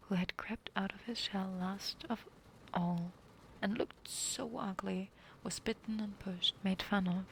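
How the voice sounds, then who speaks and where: A woman reads an old fairy tale in a hushed, unvoiced whisper, with a gravelly edge. Her pitch sits in the middle range. A faint hiss of noise stays under her voice throughout. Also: poor audio. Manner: soft whisper, stops to apologize and laugh. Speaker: reader. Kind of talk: whispered storybook reading.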